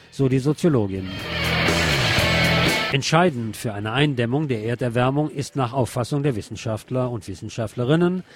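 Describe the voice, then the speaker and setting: A man's voice: medium pitch, darkish tone. A man reading scripted news as a radio host. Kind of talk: scripted news narration over music.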